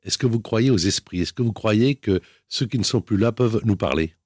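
A man's voice: fairly low pitch, lively intonation, brisk, darkish tone, slightly rough, no room sound. Probing male interviewer, dry sound. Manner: probing, rephrases questions. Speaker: interviewer, host.

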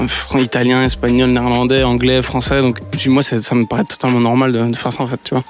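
A man's voice: mid-range voice, monotone, quick pace, soft background music. A man from Belgium, a DJ and producer, chatting as a guest in a radio interview, with many fillers and restarts. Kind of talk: casual radio interview chat.

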